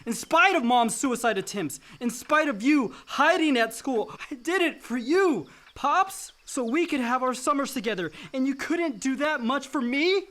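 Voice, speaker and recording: An actor playing a dutiful, resentful grown son in a radio play, earnest and wounded. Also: male voice, extremely high pitch, animated, moderate pace, hardly any pauses, a bit bright. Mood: bitter outburst.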